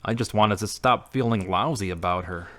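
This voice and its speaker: A man's voice: fairly low pitch, normal speed, fairly bright tone, close to an everyday voice. A man acting a brooding, earnest, troubled character in an audio drama. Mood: troubled, confiding.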